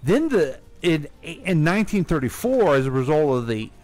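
An American man co-hosting a casual radio discussion, talking in a halting, wry way. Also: fairly high pitch, sing-song, darkish tone, soft background music. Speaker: radio show co-host.

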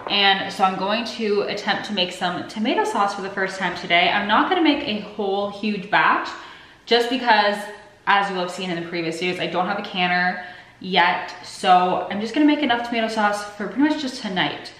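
A woman's voice: medium pitch, expressive, normal speed, a bit bright. A woman in her twenties, a bit sick and sniffly, chatting casually and rambling to the camera in her home kitchen. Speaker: vlogger. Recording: echoey kitchen.

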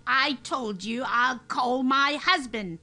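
A woman as a high-voiced, prim old lady. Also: slow, over music. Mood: indignant, dismissive. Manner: prim, dismissive. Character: wary, prim old small-town housewife. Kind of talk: radio drama acting.

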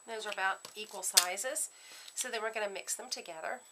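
A woman giving a casual step-by-step craft demonstration at her worktable, chatting in a relaxed way while working with her hands. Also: medium pitch, a little fast, heavy background noise. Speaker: craft tutorial host.

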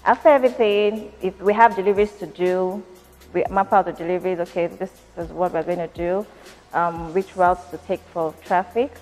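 Nigerian woman, 30s, CEO on camera; lowish voice, measured, some ums; long hall reverb with faint music under it. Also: steady tone, a little mellow. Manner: measured, thoughtful, with frequent "um" fillers. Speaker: company founder and CEO. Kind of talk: interview answers to an off-camera interviewer. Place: factory floor, indoors.

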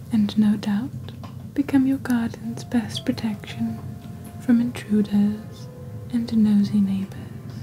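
A woman voicing a witch who presents magical wares in soft-spoken, breathy, deliberate ASMR style, close to the microphone. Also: a little high, rough recording. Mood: gently playful. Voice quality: breathy, whispery. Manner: slow, deliberate, hushed storytelling. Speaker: ASMR performer as shopping channel presenter. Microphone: close desk microphone.

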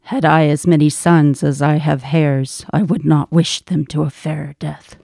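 A woman's voice: sits low, fairly even intonation, unhurried, creaky, warm tone, no echo, clean studio sound, exaggerated voice. A woman playing a stoic, bereaved old soldier in a Shakespearean radio drama, grave and restrained. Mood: stoic grief.

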